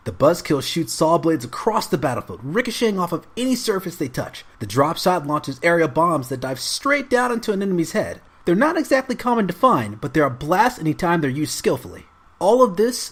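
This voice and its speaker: A man's voice: a little high, slightly rough. A man narrating a scripted video game review as a voice-over.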